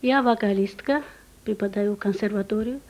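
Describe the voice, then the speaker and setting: A woman's voice: medium pitch, moderate pace, darkish tone. A woman in her forties from Georgia, a vocal teacher, chatting about her family at a home dinner table. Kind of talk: casual talk at a dinner table. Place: home dining room.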